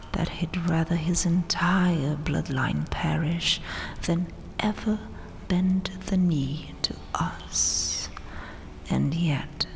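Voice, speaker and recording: A woman voicing a vengeful, coldly dominant vampire queen in a breathy, mocking, regal whisper for an ASMR roleplay. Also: deep voice, a little hiss or hum. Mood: gloating. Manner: slow, deliberate, mocking, regal.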